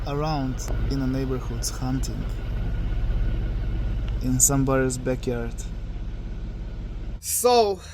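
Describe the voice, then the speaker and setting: A man in his thirties from Bulgaria with a Bulgarian accent, a falconer talking casually outdoors in a halting way with restarts. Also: fairly high pitch, background noise. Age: 30s. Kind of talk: casual recorded monologue. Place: outdoors in the desert. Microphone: phone.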